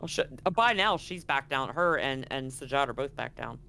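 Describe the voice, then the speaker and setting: Halting male game master, mid-pitched. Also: expressive, gravelly, sharp tone, soft background music. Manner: halting, repeats words while thinking. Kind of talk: casual tabletop game narration.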